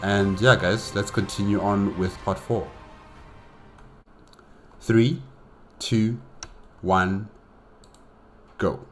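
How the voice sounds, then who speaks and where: A man's voice: fairly low pitch, steady tone, very slow, pauses often, soft background music. A man hosting a casual solo reaction video, commenting incredulously with rhetorical questions.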